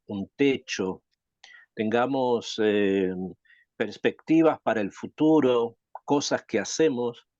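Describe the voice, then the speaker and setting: A man from Chile, a rabbi teaching a Torah class, reflective and thoughtful, asking rhetorical questions and pausing to think. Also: mid-range voice, a little mellow, dry recording, highs cut off. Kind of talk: Torah lesson.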